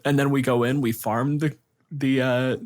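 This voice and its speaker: A man hosting a casual tabletop gaming discussion, riffing in an animated way and acting out imagined quotes. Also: medium pitch, moderate pace.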